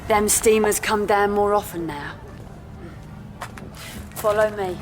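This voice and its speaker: An actress plays an ageing London prostitute in a radio drama. She is weary and coaxing, with plain working-class speech at a middling pitch. There is faint noise behind her. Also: slow pace, close to an everyday voice. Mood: guarded, matter-of-fact. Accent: London working-class English. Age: older. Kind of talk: naturalistic radio drama acting.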